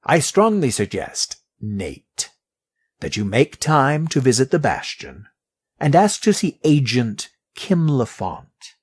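An actor playing a guarded, courteous middle-aged mortician in an audio drama, speaking in formal, measured phrases with a husky, rough voice. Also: male voice, mid-range voice, sing-song, full character voice. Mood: stern, formal.

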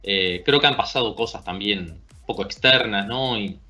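A man's voice: fairly low pitch, moderate pace, bright, forceful tone, faint music behind. A man in his thirties with an Argentine accent, giving basketball analysis over a video call. He talks thoughtfully and often ends points with a tag question. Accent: Argentine Spanish. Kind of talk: casual sports discussion. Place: small room at home.

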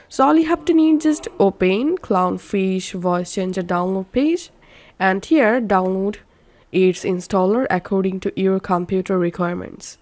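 A woman narrating a software tutorial, giving step-by-step instructions. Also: medium pitch, no room sound.

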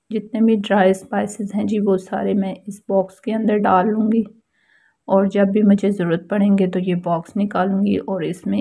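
A woman's voice: medium pitch, vocal fry, dark tone, husky. A woman with a Pakistani accent giving a casual how-to voice-over as a home vlogger, explaining things politely and repetitively.

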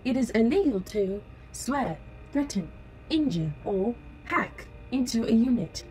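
Woman as a high, polite android assistant. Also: animated, measured pace, warm tone, a little hiss or hum, low-quality recording. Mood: official, warning. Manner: formal, procedural, polite. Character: polite, rule-bound female holographic android sales unit. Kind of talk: audio drama acting. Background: music.

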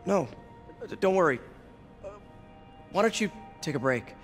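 A man's voice: high voice, expressive, moderate pace, soft background music. An actor playing an earnest, slightly awkward young man, hesitant and sincere, in a naturalistic video game drama. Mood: awkward, caring. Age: young adult. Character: earnest, awkward young lab assistant and nephew.

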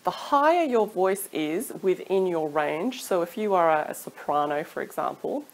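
A woman's voice: lowish voice, poor audio. Chatty encouraging woman singing teacher. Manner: chatty, encouraging, laughs often. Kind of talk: casual advice vlog to camera. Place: small home room indoors. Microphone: studio mic off camera.

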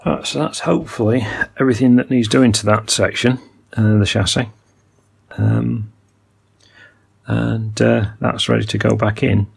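A man's voice: fairly low pitch, fairly even intonation, a little slow, gravelly, husky. An older man musing through a hands-on repair of vintage gear at his workbench, talking technically with many ums and uhs. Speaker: electronics repair hobbyist. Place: workbench indoors.

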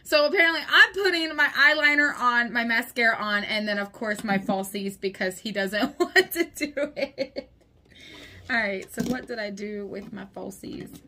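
A woman's voice: on the high side, normal speed, bright, forceful tone. A woman in her thirties, a little congested, chatting and giggling casually as she does a makeup tutorial at home, close to the camera. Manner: chatty, giggly, talks while applying makeup. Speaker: beauty vlogger. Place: small room at home, indoors. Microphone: camera close in front.

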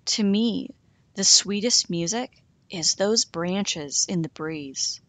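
A woman voicing a kindly, wise male cricket in a storybook, talking gently and coaxingly. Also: medium pitch, slow, no room sound. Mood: gentle.

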